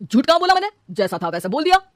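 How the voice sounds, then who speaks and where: A woman's voice: high voice, sing-song, racing, dry recording, studio quality. Woman voicing a glib, boastful young husband. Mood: glib, defensive. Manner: glib, hesitates then brazenly justifies himself. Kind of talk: animated cartoon dialogue.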